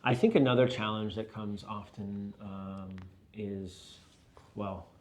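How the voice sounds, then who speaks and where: A middle-aged man talking casually and reflectively in an interview as a leader, explaining things step by step in a halting, thoughtful way. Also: on the low side, fairly even intonation, darkish tone. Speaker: business and church leader being interviewed.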